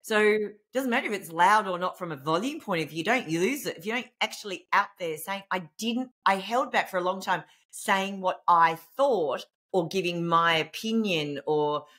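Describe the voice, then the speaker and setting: A middle-aged woman hosting an interview over a video call, with a loud voice and an animated, chatty way of talking. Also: on the low side. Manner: animated, talks with her hands, laughs easily. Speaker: podcast host. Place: indoor home room. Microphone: video call webcam.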